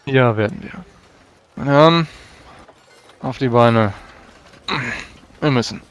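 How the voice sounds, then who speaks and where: Male player, middle register, measured and courtly in-character dialogue. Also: mid-range voice, clear, resonant tone. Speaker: tabletop role-playing player. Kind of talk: in-character role-play dialogue.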